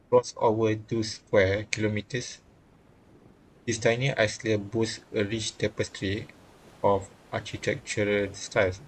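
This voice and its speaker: A man's voice: on the low side, a little hiss or hum, poor audio. A man streaming a visual novel, reading the game text aloud and often stumbling and rereading phrases.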